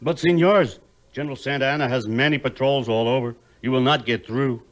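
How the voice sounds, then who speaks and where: An actor playing a courteous, cautious Mexican traveler with a Mexican Spanish accent in a 1950s audio play. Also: male voice, mid-range voice, fairly quick, natural voice, low-quality recording. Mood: polite, warning.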